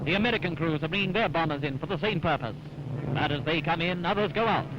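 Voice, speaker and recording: A man's voice: fairly high pitch, fast, highs cut off, background noise. A man narrating a 1940s wartime newsreel in a dramatic, punchy, rousing way, on a vintage soundtrack. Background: music.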